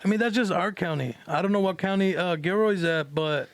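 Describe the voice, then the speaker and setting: Higher-pitched man, slangy. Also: a little fast, dry recording. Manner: slangy, swearing, explanatory. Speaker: podcast co-host. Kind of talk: casual podcast chat.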